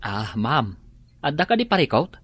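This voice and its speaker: An actor playing a polite young house helper who keeps saying ma'am, with a husky voice. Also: male voice, medium pitch, sing-song, normal speed, a bit bright, a little hiss or hum. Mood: deferential. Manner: deferential, says ma'am often. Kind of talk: Ilocano radio drama acting.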